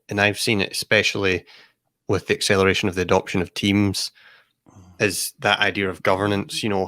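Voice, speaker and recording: A man with a moderately low voice hosts a casual technical interview. He builds his questions thoughtfully and at length, and the recording is dry and free of room sound. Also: fairly bright tone, no echo. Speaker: podcast host.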